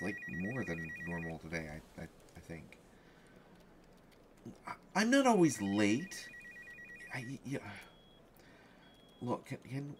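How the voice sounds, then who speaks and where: Man acting flustered, stammering reporter, swooping pitch. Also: slight background noise. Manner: stammering, halting, exasperated. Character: flustered, distracted male reporter, jealous and self-defensive. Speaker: voice actor in a solo roleplay audio. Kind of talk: one-sided conversational audio roleplay.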